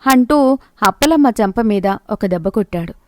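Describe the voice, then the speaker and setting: A woman's voice: fairly high pitch, animated, normal speed, dry recording, clean studio sound. A woman narrating a scripted moral story in a storybook style.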